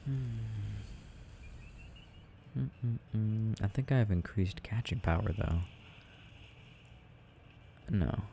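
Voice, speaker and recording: A man playing a sleepy, tender boyfriend in a soft ASMR roleplay, murmuring to himself as he games and sometimes dropping into a whisper. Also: on the low side, very slow, gravelly, long pauses, over music, exaggerated voice. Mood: absorbed, mildly puzzled. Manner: murmuring, dropping into whispers, hesitant self-talk. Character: sleepy, tender, sensitive boyfriend rambling while gaming. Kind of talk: soft intimate ASMR roleplay, unhurried rambling.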